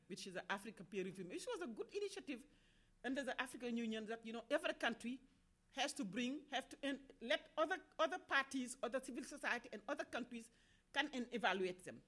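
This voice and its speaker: Passionate Senegalese woman, halting delivery. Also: on the high side, a bit bright. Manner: halting, many restarts and repeated words, rising in force on key points. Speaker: activist speaker at a development forum. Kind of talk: impassioned panel speech.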